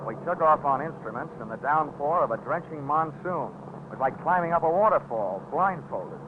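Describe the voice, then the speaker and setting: An American actor playing a wry, hardboiled insurance investigator in a 1940s radio drama, with clipped, wisecracking delivery. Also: male voice, on the high side, lively intonation, moderate pace, heavy background noise, close to an everyday voice. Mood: wry, brisk. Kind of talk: 1940s radio acting, first-person narration and dialogue. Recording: narrow, tinny 1940s radio recording with music.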